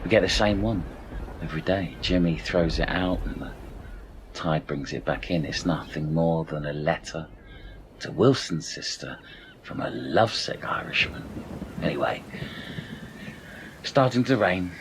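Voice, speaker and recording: An extremely deep-voiced castaway played by a man grumbles with weary scepticism. He is dour and deadpan, in a radio comedy with faint ambient noise behind him. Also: unhurried, pauses often. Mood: resigned.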